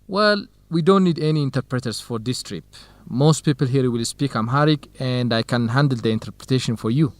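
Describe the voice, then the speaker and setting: An actor playing an earnest, modest young Ethiopian research partner and interpreter in an educational radio drama. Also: male voice, mid-range voice, brisk, few pauses, dry recording, lightly acted. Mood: friendly, earnest.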